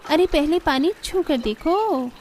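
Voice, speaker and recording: A woman's voice: high-pitched, animated, takes it slowly, a little mellow, slight background noise, voice pushed up. A woman voicing a resourceful, cheery young village daughter-in-law in a cartoon story, eager to explain.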